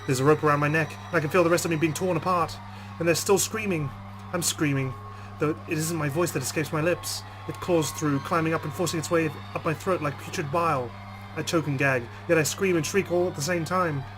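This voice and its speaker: A man's voice: on the high side, steady tone, a little fast, few pauses, over music, poor audio. A man hosting a let's play, reading a visual novel's text aloud dramatically and adding his own commentary.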